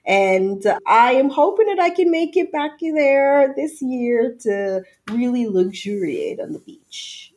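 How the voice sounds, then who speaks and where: A woman's voice: sits high, expressive, slow pace, hardly any pauses, a little mellow. A playful woman from Jamaica who grew up partly in the United States, chatting casually as a podcast guest. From Jamaica, moved to the United States as a tween. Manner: playful, laughs often while talking. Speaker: podcast guest, emergency physician. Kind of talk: casual interview chat.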